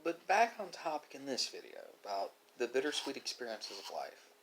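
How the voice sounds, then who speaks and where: A man with an average-pitched voice delivers an unscripted, reflective monologue to an online audience. He talks in a philosophical way, breaking phrases with pauses and correcting himself along the way. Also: big pitch swings, fairly bright tone, a little hiss or hum, rough recording. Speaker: ASMR video creator. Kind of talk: unscripted musing monologue to viewers during a mukbang.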